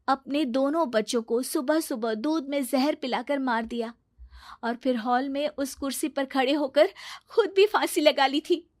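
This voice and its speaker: Woman acting a grave, high-voiced young cartoon woman. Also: dry recording, studio quality. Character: serious, suspicious young woman warning her friends. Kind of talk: animated cartoon story acting.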